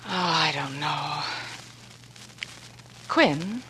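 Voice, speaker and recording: A faintly noisy vintage radio play features a young American woman doing detective work. She is plucky and skeptical, pressing her points in a brisk, insistent, questioning manner. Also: low-pitched, animated, bright, forceful tone, full character voice. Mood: practical. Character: plucky, skeptical young woman, a sharp-witted amateur sleuth. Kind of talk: 1940s radio acting. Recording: noisy old radio drama recording.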